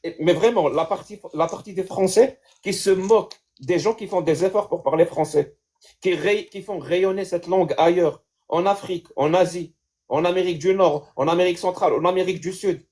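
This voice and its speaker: High-voiced man with a Kabyle accent. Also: fairly quick, darkish tone. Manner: earnest, emphatic, stammers on repeated words. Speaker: debate guest. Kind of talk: live debate.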